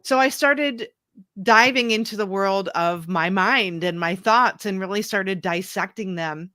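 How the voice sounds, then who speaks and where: A woman's voice: medium pitch, a little slow, bright, forceful tone, no room sound, clean studio sound. A woman coach in her thirties, a guest telling her story in an earnest, reflective way. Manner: earnest, reflective, restarts and fillers. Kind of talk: conversational interview.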